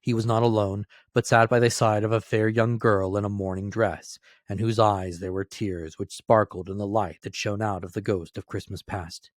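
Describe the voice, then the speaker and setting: A man's voice: lowish voice, level tone, moderate pace, hardly any pauses, no room sound, clean studio sound. A man narrating a classic story as a dramatic scripted reading. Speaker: narrator, reader.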